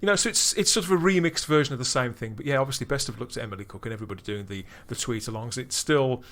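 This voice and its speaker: A man hosting a podcast, chatting casually and delivering upbeat, theatrical links with quick laughs. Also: mid-range voice, fairly bright tone. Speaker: podcast host.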